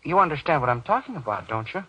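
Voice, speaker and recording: An actor playing a menacing, power-drunk little man in radio horror, raspy and falsely polite, taunting with tag questions. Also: a little high, sing-song, quick pace, sharp tone, highs cut off, exaggerated voice. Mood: gloating. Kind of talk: golden age radio horror acting. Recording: old radio drama sound.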